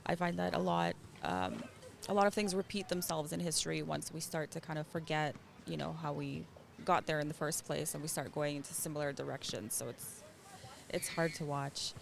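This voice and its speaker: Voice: medium pitch, normal speed, vocal fry. An adult parent who was born in Russia and grew up in Israel and Canada, talking earnestly and thoughtfully as a stranger interviewed outdoors, laughing often. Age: adult with children. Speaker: interviewee, stranger met on the street. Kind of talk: casual conversation. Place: outdoors in a public area. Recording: busy crowd noise behind.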